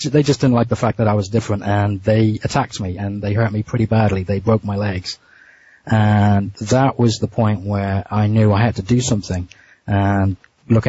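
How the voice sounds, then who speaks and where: A man being interviewed, telling a story in a casual, halting way with frequent restarts and repeated words. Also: lowish voice, mellow, slightly rough. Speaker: interview guest. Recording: muffled dry lo-fi line.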